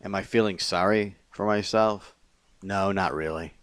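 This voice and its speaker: A man reading his own confessional poetry as a poet, in deliberate short phrases with pauses between them. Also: deep voice, a bit bright, clean tone, dry recording.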